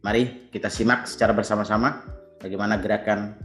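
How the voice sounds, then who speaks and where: A man in his fifties, a teacher, earnestly presenting to fellow educators and clearing his throat often. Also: fairly low pitch, steady tone, fairly quick, fairly bright tone, echoey room, faint music behind. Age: 50s.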